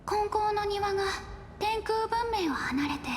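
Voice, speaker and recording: An actress playing a gentle, earnest young woman guide in an anime-style game, polite and soft-spoken. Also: extremely high pitch, moderate pace, a little hiss or hum. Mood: gentle, explaining. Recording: echoey hall reverb, clear game music.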